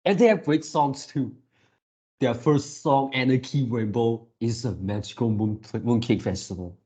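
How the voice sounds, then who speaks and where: A man's voice: mid-range voice, measured pace, warm tone, breathy edge. A man giving casual reaction commentary as a video creator, rambling like an enthusiastic fan.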